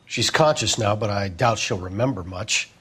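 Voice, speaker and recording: A man playing a sober, concerned hospital doctor in a detective game, clinical and grave, with a husky voice. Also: lowish voice, normal speed, a bit bright. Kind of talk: cinematic detective game dialogue.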